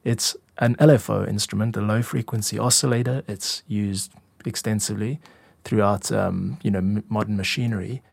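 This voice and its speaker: A man's voice: lowish voice, steady tone, normal speed, gravelly, husky, dry recording. A man giving an informal, instructive tutorial as a music producer and podcast host. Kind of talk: explanatory tutorial narration.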